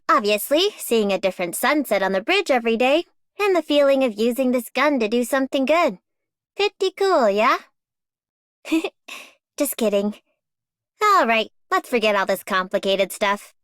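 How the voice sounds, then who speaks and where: A breezy young game operator. Her voice is high and very lively in pitch, casual and teasing, in a dry studio sound. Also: clean tone, dry recording. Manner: casual, playful. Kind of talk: English video game character voice lines.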